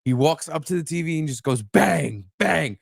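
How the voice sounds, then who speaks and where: A man's voice: fairly high pitch, fairly even intonation, fast, a little mellow, no echo, clean studio sound. A man hosting a podcast, chatting casually and comedically, telling profane stories with acted-out exclamations. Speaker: podcast host.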